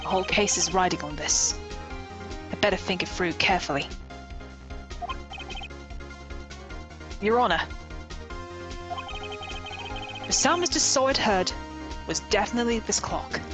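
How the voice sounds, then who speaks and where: A woman plays a young male defense attorney in a visual novel game, earnest and assertive. The medium-pitched voice moves up and down freely. Music sits clearly underneath. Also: animated, a little fast, close to an everyday voice. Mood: determined. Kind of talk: reading visual novel game dialogue aloud. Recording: music behind.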